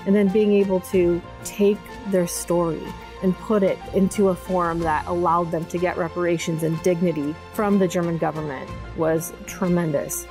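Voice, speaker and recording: A woman whose first language is Polish talks steadily and earnestly in a documentary-style interview. Her voice sits a little low, with soft music underneath. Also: fairly even intonation, normal speed, hardly any pauses. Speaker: pro bono program director.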